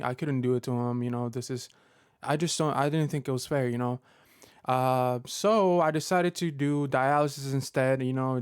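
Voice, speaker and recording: A man in his thirties talks straight to his viewers in a casual vlog, stopping and restarting often with lots of fillers. His voice is smooth and resonant, at an ordinary pitch. It is recorded close up and dry, with no room sound. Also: darkish tone, dry recording. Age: 30s. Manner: halting, many fillers and restarts. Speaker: Pokemon content creator. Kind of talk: personal vlog update.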